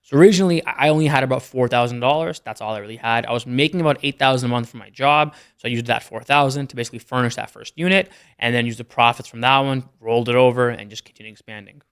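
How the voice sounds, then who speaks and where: A man's voice: mid-range voice, fast talker, few pauses, a bit bright, smooth. A young man from Canada, a podcast guest talking casually and matter-of-factly about his business numbers. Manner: matter-of-fact, rattles off numbers. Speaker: guest, entrepreneur. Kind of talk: casual interview chat.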